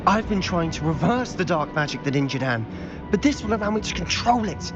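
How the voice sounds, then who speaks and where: An actor playing a desperate, defiant teenage dark wizard in a video game, speaking in an impassioned, insistent way. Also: male voice, on the high side, very animated, fairly quick, lo-fi sound, over music. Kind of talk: dramatic video game acting.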